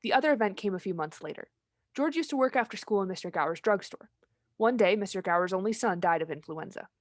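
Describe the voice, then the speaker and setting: A woman playing a brisk, authoritative senior angel in a radio play, businesslike as she tells a story. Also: mid-range voice, animated, no echo, studio quality, lightly acted. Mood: storytelling. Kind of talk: old-time radio play acting, storytelling.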